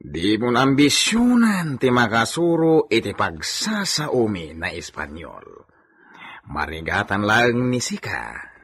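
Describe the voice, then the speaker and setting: A man plays a lordly Spanish gentleman for an Ilocano radio comedy, curt and commanding. His forceful voice moves slowly but leaps dramatically in pitch. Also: sing-song, slightly rough, full character voice. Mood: dismissive.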